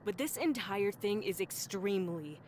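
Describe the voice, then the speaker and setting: An actress playing an exasperated, skeptical young new employee in a video game, sighing and indignant. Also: female voice, medium pitch, expressive, normal speed, a little mellow, slight background noise, close to an everyday voice. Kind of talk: scripted game voice acting.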